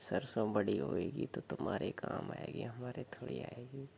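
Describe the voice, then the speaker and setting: A man playing a village lover coaxing his sister-in-law on the phone, with a Rajasthani accent and a slow, suggestive way of talking. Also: medium pitch, vocal fry, a little mellow, slight background noise. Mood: earnest, suggestive. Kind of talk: staged phone call, casual flirtatious chat.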